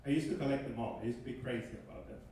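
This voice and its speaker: A man in his thirties from Venezuela with a Venezuelan Spanish accent, doing an animated stand-up comedy set on a club stage with a handheld mic. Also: medium pitch, racing. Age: 30s. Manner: animated, shouting punchlines. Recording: echoey club from far off, noisy.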